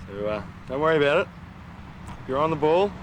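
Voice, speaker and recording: A man's voice: lively intonation, brisk. A man, exclamatory and sardonic, chatting and joking casually outdoors. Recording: noisy outdoor recording, rumbling vehicles.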